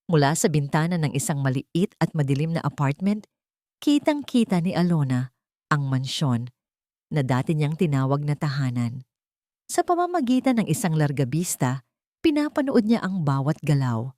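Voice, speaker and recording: A woman's voice: low-pitched, expressive, normal speed, warm tone, no echo, studio quality. A woman with a Filipino accent narrating a dramatic story as a scripted audiobook.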